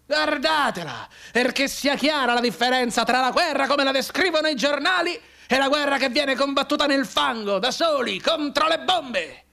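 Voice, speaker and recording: An actor playing a maimed, bedridden war veteran in a theatrical radio monologue, intense and declamatory, anguished and bitterly sardonic. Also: male voice, very high-pitched, expressive, vocal fry, exaggerated voice. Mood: frenzied showman. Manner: intense, declamatory, halting between hushed and cried-out delivery.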